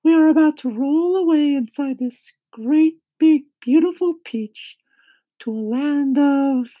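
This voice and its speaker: Kind lady ladybug for a storybook: very high, slow, emphatic, bossy explainer. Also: dry recording, phone-quality sound. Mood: reassuring, emphatic. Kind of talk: children's storybook reading.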